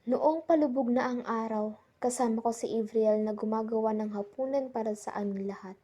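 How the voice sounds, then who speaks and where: A woman's voice: on the high side, normal speed, mellow, close to an everyday voice. A young woman playing the narrator who recounts a frightening island trip in a scripted radio drama. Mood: uneasy storytelling. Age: young adult.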